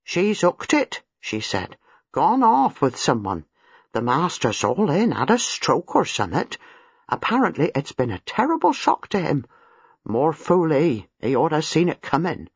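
A man voicing a brash, common young housemaid, an excitable gossip who talks in blunt, scornful slang in an audiobook. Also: on the high side, unhurried, breathy edge, no room sound, highs cut off, full character voice. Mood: scornful, agog. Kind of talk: audiobook character dialogue.

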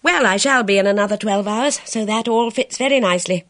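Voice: mid-range voice, no room sound, studio quality, voice pushed up, close to an everyday voice. A reader voicing a bold, reckless middle-aged woman who speaks sharply and commandingly. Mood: imperious. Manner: brisk, imperious, sharp.

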